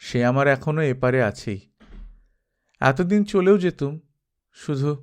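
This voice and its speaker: A man's voice: medium pitch, unhurried, natural voice. Man voicing a teasing young Bengali sister-in-law. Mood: wistful, evasive. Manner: teasing, mock-scolding. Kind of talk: dramatised audiobook reading.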